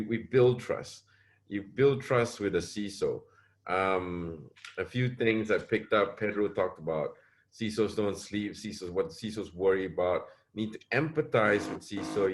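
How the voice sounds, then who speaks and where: Low-voiced man on a video call. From Singapore. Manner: hesitant, many restarts and repeated words. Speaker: panelist, security advisor. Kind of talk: webinar panel answer.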